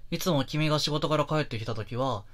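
A man playing an affectionate, puppyish young boyfriend in a Japanese roleplay audio drama, talking softly and intimately to his partner. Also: a little high, fairly quick, natural voice. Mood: gentle, curious. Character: affectionate, puppyish young boyfriend, easily flustered, sniffing suspiciously. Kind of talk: Japanese situation-voice ASMR drama.

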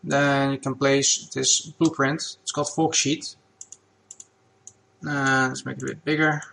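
A man whose first language is Dutch, talking through a software tutorial at his computer in a relaxed, plain step-by-step way. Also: mid-range voice, measured pace, vocal fry, slight background noise. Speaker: game asset creator.

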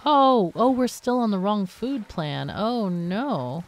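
Unhurried woman thinking aloud while gaming. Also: mid-range voice, very animated. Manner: thinks aloud, asks herself questions. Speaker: gaming video host. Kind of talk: casual unscripted game commentary.

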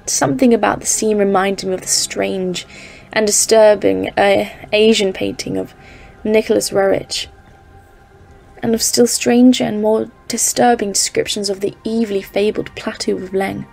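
A woman's voice: medium pitch, fairly even intonation, unhurried, gravelly, darkish tone, soft background music, poor audio. A woman playing a composed expedition leader, narrating a polar journal in a scripted audio drama. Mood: solemn, uneasy. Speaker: narrator and lead character.

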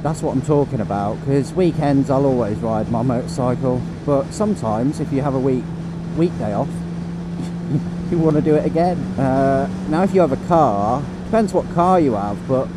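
A man's voice: medium pitch, expressive, moderate pace. A man vlogging casually while riding a motorcycle on country roads, rambling and chuckling to himself. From England. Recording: wind and engine noise from riding.

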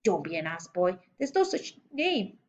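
A woman's voice: medium pitch, big pitch swings, normal speed, dull audio, close to an everyday voice. Woman putting on a bellowing, sarcastic bully of a headmistress. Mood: outraged, menacing. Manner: barking, bellowing, snorting insults with sarcastic scorn. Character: giant, bullying, sarcastic middle-aged female school headmistress. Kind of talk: audiobook storybook character voice.

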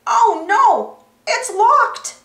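An excitable young boy monkey from a children's storybook, as a woman narrator puts him on. He is high-voiced and swings wildly in pitch, exclaiming and wondering aloud with friendly eagerness. The sound is a little roomy. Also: unhurried, full character voice. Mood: dismayed.

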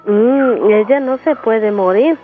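A woman's voice: a little high, takes it slowly. A woman playing a naive, cheeky young ranch girl with a Mexican accent, whiny and coy in a comedic radio soap. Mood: naive, complaining. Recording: dull lo-fi, music behind.

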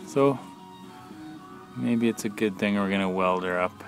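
A man talking casually off the cuff as an amateur mechanic, in a plain-spoken, halting, matter-of-fact way while filming with a handheld camera. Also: fairly low pitch, steady tone, over music. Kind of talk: casual off-the-cuff how-to narration. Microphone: handheld camera, speaker behind it.

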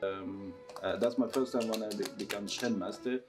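A man in his thirties with a Russian accent, talking casually in halting English during a seated interview in a costume workshop. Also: lowish voice, steady tone, over music. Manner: halting non-native English with restarts. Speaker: circus performer and tent master.

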